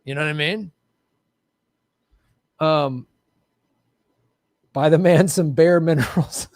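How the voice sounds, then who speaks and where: A man hosts a live show in a casual, joking manner, poking fun at himself with playful bravado. His voice sits a little high for a man. The sound is dry and close, with no room echo. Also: takes it slowly, a little mellow. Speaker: host of a live political show. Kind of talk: casual livestream chat. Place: home studio with bright lights.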